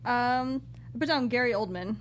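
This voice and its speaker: A woman's voice: on the high side, normal speed, a little hiss or hum. A woman co-hosting a casual film discussion with playful, sarcastic banter. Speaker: podcast co-host.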